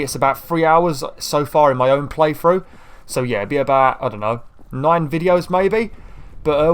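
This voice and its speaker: A man with a croaky voice, giving rambling casual commentary while playing a video game. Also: mid-range voice. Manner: rambling, chatty, self-deprecating. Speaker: gaming YouTuber.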